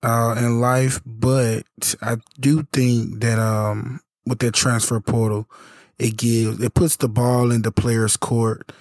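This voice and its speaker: A hoarse man hosting a casual solo podcast, talking in a halting way with many fillers and restarts. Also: medium pitch, little pitch movement, a little mellow, dry recording, studio quality. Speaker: podcast host and youth basketball coach.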